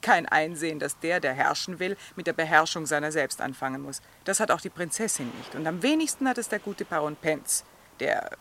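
An actress playing a principled, stern middle-aged countess in a literary radio drama, with formal, pointed diction. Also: female voice, low voice, lively intonation, a little fast, a bit bright, slight background noise. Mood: disapproving.

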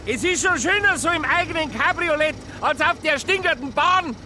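A strikingly high-voiced, hearty man from provincial Bavaria. He talks in folksy dialect with a bright, forceful edge, in a radio play with faint outdoor traffic noise around him. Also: lively intonation, normal speed, heavily acted. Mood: pleased. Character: jovial provincial Bavarian man, delighted car buyer.

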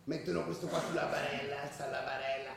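An actor playing a rowdy, foul-mouthed football hooligan who tells his stories in a coarse, animated way in a radio drama. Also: a little high, fast, gravelly. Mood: excited storytelling. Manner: coarse, animated, shouty storytelling. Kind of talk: radio drama monologue, spoken like street anecdote. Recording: roomy, distant echoing radio recording.

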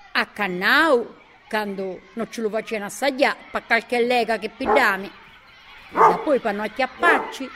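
An actress playing a woman recalling her youth, telling it slowly in a Sardinian accent from Gallura. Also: a little high, noisy background. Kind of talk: dramatized audiobook monologue in dialect. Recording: long reverb, loud music behind.

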